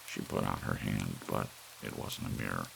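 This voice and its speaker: A man's voice: very deep voice, fairly even intonation, normal speed, creaky, low-quality recording. A man reading a story aloud as an audiobook narrator, in an ASMR bedtime style. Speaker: audiobook reader. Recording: faint hiss, soft rain sound. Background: soft rain.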